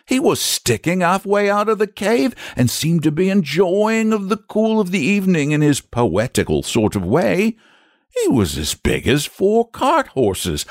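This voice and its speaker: A frightened country father from a storybook, played by a male reader. His voice is pushed high and swoops dramatically as he rambles in rustic dialect, nervous and easily indignant. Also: no room sound, studio quality, heavily acted. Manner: rambling, dialect country speech with emphatic repetitions.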